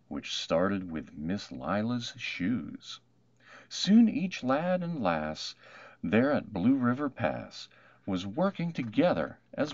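A man narrating a rhyming children's storybook in an expressive, measured way. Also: on the low side, dry recording, muffled recording. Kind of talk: rhyming picture book read-aloud.

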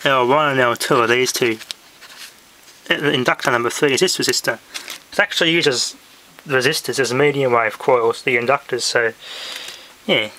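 A man's voice: medium pitch, bright, forceful tone. A man presenting a hands-on hobby demonstration casually, thinking aloud, recorded indoors on a handheld camera. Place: indoors in a home room. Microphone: handheld camera or phone. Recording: noisy handheld home video, clicks.

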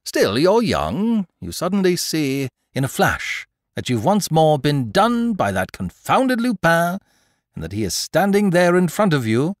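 Man playing a theatrical, teasing gentleman thief, wildly swooping. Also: on the high side, huge pitch swings, measured pace, no echo, clean studio sound, pitched above the performer's own voice. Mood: gleeful teasing. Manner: mocking, theatrical, peppered with rhetorical questions. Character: gentleman thief, teasing, exuberant, boastful, playfully condescending to youngster. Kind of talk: dramatised audiobook character dialogue.

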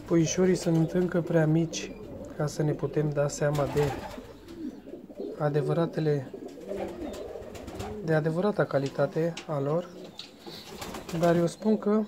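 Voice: medium pitch, pauses often. A speaker, casually narrating a show-and-tell vlog as a pigeon breeder, hesitant and often repeating phrases. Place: pigeon aviary. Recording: noisy, cooing pigeons around. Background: birds cooing, clear ambient noise.

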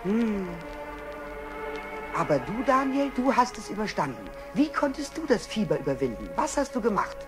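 A woman's voice: medium pitch, sing-song, moderate pace, over music, close to an everyday voice. A woman playing a brave, determined young male lion cub in a children's radio play.

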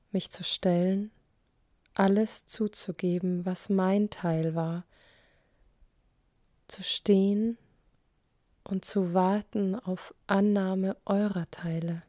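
Female poetry reader: extremely unhurried, warm soft tone, breathy line endings, measured pauses. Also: medium pitch, no echo, clean studio sound. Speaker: poet. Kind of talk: spoken poetry recitation in short phrases.

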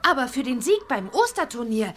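A high, swooping voice for a spirited little witch girl in a children's audio drama. She sounds eager and determined, with clear sound effects behind her. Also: very animated, normal speed, a little hiss or hum. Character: spirited, determined young witch girl who chants spells. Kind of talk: children's radio play acting.

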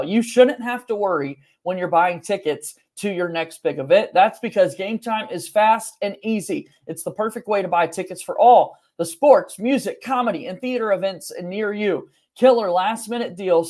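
A young man with a notably high-pitched voice hosts a sports podcast. He presents in the manner of a show host, recorded indoors in a small home room. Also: moderate pace, hardly any pauses, a little mellow. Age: young adult. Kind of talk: sports podcast hosting and sponsor read.

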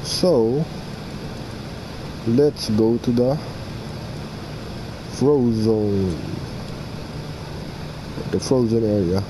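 A young man giving casual gameplay commentary, halting as he muses aloud, close to the mic. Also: mid-range voice. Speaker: gaming video host. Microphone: mic placed right in front. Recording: steady background hum and noise.

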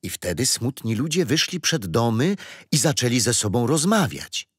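A man's voice: medium pitch, sing-song, normal speed, breathy edge, dry recording, studio quality. An actor playing a kindly older grandfather who patiently tells stories and history in a children's radio play. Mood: storytelling. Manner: patient, playful teasing. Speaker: storyteller grandfather.

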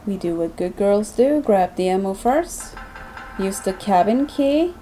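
Woman, let's-play commentator, warm low-key tone, light hiss under the voice, chatty and self-mocking. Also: lively intonation, a little slow, low-quality recording. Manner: chatty, self-deprecating, thinking aloud. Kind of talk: casual gameplay commentary.

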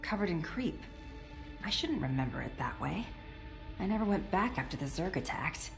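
An actress playing a tough, guarded young soldier in a video game, speaking tersely and dryly. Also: female voice, fairly low pitch, sing-song, normal speed, vocal fry, faint music behind. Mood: troubled. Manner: terse, dry, controlled. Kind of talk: video game cutscene acting.

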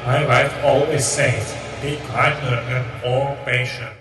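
An actor voicing a patient, boastful monster coach from a video game, speaking in a measured, drawn-out way. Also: male voice, mid-range voice, fairly even intonation, over music, close to an everyday voice. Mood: measured, proud. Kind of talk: video game character acting. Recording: very echoey, distant from mic.

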